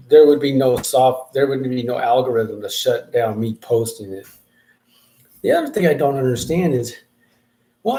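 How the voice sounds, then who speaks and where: Guy rambling thru a casual update on his own show, mid-pitch, thinking out loud as he goes. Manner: rambling, thinking aloud with restarts. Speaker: podcast host and rap artist.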